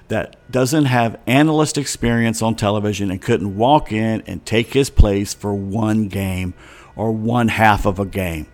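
A middle-aged man with a slightly hoarse voice, ranting in a chatty monologue with many fillers and restarts. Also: lowish voice. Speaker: vlogger. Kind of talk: casual rambling monologue.

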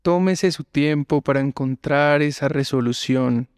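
A man gives calm, measured guided meditation instructions as a yoga teacher. His delivery is very slow, with long pauses between phrases. The voice is smooth and clear, recorded close and dry. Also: on the high side, dry recording.

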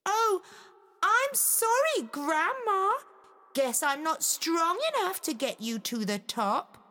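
A woman voicing a clever young girl in a storybook, speaking in a high, sweetly apologetic tone. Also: lively intonation, slow, sharp tone, in a large hall, full character voice. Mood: sly sweetness.

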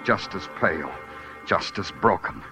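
Very deep, brooding hardboiled detective. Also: male voice, lively intonation. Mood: brooding, grim. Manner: brooding, clipped, deliberate delivery. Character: world-weary, poetic hardboiled New York police detective. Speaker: actor playing the lead detective and narrator. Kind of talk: 1940s hardboiled radio drama acting. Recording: dull vintage radio recording, organ-style music behind.

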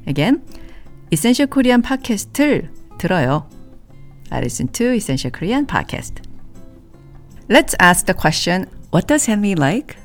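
A woman teaching a language in a scripted podcast lesson, saying model phrases slowly and clearly for listeners to repeat. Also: medium pitch, big pitch swings, faint music behind.

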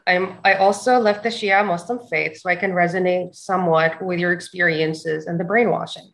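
A woman from Saudi Arabia talking as a guest on a video call, hesitant and halting, also reading out viewer comments. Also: on the low side, steady tone, fairly bright tone.

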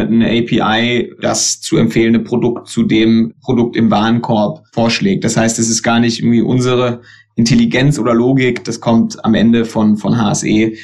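A man's voice: mid-range voice, gravelly, a little mellow. A man as interview guest, explaining a voice product at length with frequent fillers. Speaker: guest, tech company expert.

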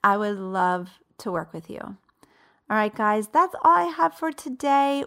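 A woman's voice: medium pitch, a bit bright. A woman in her late thirties speaking as a coach and host, giving a motivational talk in an emphatic, conversational way with rhetorical questions. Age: late 30s.

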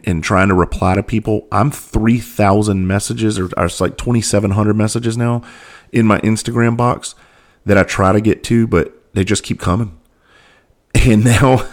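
A very deep, low-pitched man talks straight to his listeners, hoarse from losing his voice. He is earnest and halting, restarting his sentences and dropping into quieter asides as he speaks. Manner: earnest, halting with frequent restarts and filler, drops into soft asides. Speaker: podcast host and mental health speaker. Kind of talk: candid casual monologue.

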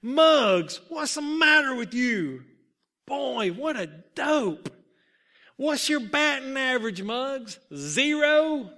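A scornful boy ballplayer from a storybook, put on by a man. He jeers and taunts in a high, raised voice, full of mockery. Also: big pitch swings, slow, bright, forceful tone, heavily acted. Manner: mocking, taunting. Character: jeering, scornful boy baseball teammates.

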